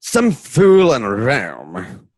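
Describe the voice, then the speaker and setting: An eager, devoted old soldier, put on by a man for a radio drama. The voice is pushed high and husky, with a strained, emphatic swing. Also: wildly swooping pitch, extremely slow, darkish tone, no room sound, full character voice. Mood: hushed excitement. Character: eager, loyal old soldier servant of a general. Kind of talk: online table-read radio drama acting.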